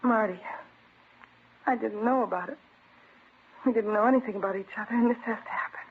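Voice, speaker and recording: A woman's voice: on the high side, a little fast, slightly rough. An actress playing an anguished, defensive American woman in love, in an emotional old radio crime drama style. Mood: distraught. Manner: pleading, emotional. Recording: tinny, narrow old radio recording.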